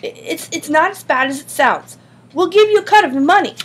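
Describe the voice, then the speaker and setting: A woman's voice: mid-range voice, expressive, moderate pace, slightly rough. A woman voicing an earnest schoolboy schemer in an audiobook, insistent and persuasive. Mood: nervous. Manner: insistent, pleading. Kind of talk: audiobook character dialogue.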